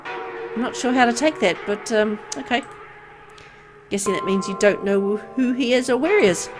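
A woman's voice: on the high side, lively intonation, moderate pace, over music, close to an everyday voice. A woman voicing a young game heroine who is wary, a little exasperated and awkward, but kind.

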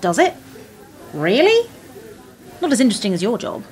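A woman's voice: a little high, huge pitch swings, moderate pace. A woman playing an earnest, inquisitive admirer with a questioning manner in a radio play. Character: eager, inquisitive female fan with a dull job. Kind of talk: radio drama dialogue.

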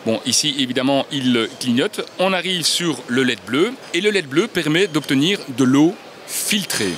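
A man's voice: on the high side, lively intonation, normal speed, a bit bright, a little hiss or hum. A man in his thirties explaining kitchen products as a brand representative, agreeable, with many fillers. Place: large indoor trade fair hall.

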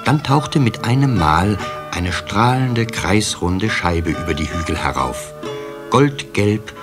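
A male narrator tells a children's tale in a low voice. His scripted storytelling is accompanied at times by light guitar music. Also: animated, slow pace. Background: soft guitar music at times.

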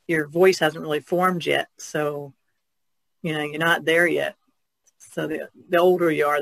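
A woman's voice: low-pitched, creaky. A middle-aged or older woman co-hosting a podcast in casual conversation. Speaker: podcast co-host.

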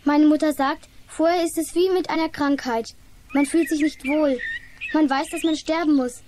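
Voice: high voice, steady tone, normal speed, natural voice. A young performer playing a firm, pious, matter-of-fact child in a children's radio play. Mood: assured. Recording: 1980s radio play, faint noise.

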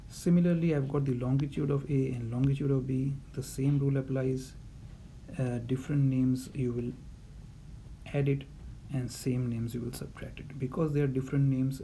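A man's voice: medium pitch, dark tone, a little hiss or hum, low-quality recording. A man teaching navigation calculations in a voice-over lesson, methodical and repetitive, with frequent fillers. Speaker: navigation instructor. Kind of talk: explanatory lesson over handwritten notes.

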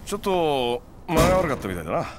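A man's voice: fairly high pitch, big pitch swings, quick pace. A man playing a laid-back, shrewd money lender in a game cutscene, casual and probing, with ambient noise behind him. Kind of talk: video game cutscene acting.

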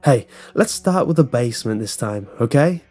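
A man's voice: mid-range voice, animated, normal speed, warm tone, close to an everyday voice. A man plays a joking male security guard in a horror story, needling his co-worker. His delivery is playful and goading, with faint music underneath. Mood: teasing.

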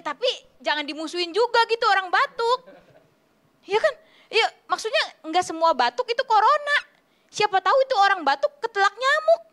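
A young woman doing a stand-up comedy routine in an animated, slangy storytelling way. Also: very high voice. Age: young adult. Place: TV studio stage, indoors. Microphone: handheld microphone.

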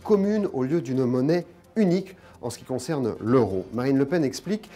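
Bright-voiced male economics journalist explaining. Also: mid-range voice, expressive, normal speed, faint music behind. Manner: didactic, step-by-step, emphatic. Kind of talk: explanatory news commentary to camera. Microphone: lapel mic.

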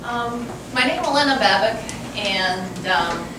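A woman's voice: medium pitch, animated, measured pace. Echoey, distant Ukrainian-accented woman. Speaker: painter on a panel. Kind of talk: informal panel talk. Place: large wooden hall with seated audience. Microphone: far camera. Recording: distant, very echoey, noisy room recording.

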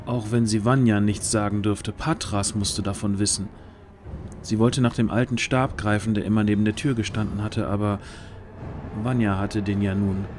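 A man's voice: lowish voice, level tone, normal speed, a little mellow, slight background noise. A man narrating a scripted fantasy audio drama as its storyteller.

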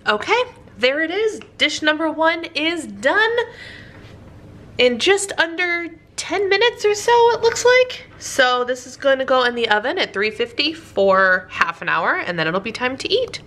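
A woman's voice: high-pitched, sing-song, a little slow, sharp tone. A woman narrating a casual cook-along in her home kitchen, chatty and upbeat, laughing at her own slip-ups. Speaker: home cooking vlogger. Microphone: camera over the counter, hands in frame.

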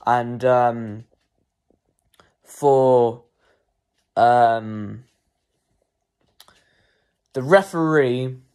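A man's voice: medium pitch, very slow, pauses often, darkish tone, smooth. A young man giving casual post-match player ratings as a football fan, hesitant with frequent ums and self-corrections.

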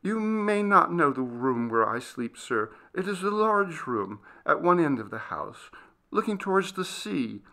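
A meek young servant girl from a storybook, voiced by a man. The pitch is lifted and moves about a lot, and the manner is faltering and pleading, full of earnest deference. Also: big pitch swings, unhurried, pushed and bright, full character voice. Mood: anxious, confiding.

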